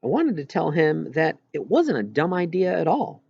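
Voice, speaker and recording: A man's voice: fairly high pitch, sing-song, moderate pace, vocal fry, mellow, slightly rough, no room sound, highs cut off. A man reading a first-person story as an audiobook narrator.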